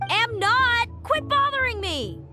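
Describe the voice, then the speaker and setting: A woman voicing an aloof, weary augury-bird fae woman in a video game, in a measured, dry, guarded manner. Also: very high-pitched, big pitch swings, pushed and bright, faint music behind, heavily acted. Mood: irritated.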